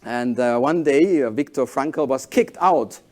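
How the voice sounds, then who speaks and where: A man in his forties whose first language is German, giving a conference keynote in halting English with animated storytelling. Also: mid-range voice. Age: 40s. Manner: animated storytelling, halting non-native phrasing. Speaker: keynote speaker.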